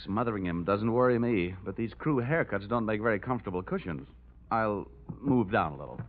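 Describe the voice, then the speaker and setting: An American actor playing a wry, wisecracking insurance investigator in an old-time radio detective drama. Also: male voice, lowish voice, expressive, brisk. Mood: sardonic. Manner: wry, breezy, sardonic. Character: cocky wisecracking male insurance investigator, rugged and unflappable. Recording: tinny vintage radio recording, faint music.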